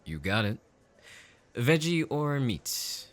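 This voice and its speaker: A man playing an affectionate, easygoing father and husband, chipper and gently teasing, in an audio drama. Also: medium pitch, big pitch swings, slow. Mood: warm, playful.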